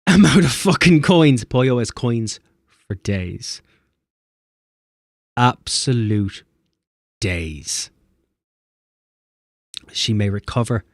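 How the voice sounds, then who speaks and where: A man's voice: mid-range voice, no echo, clean studio sound. A man streaming a game, chatting casually and swearing as he bickers with chat. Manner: animated, swearing, quick banter with chat.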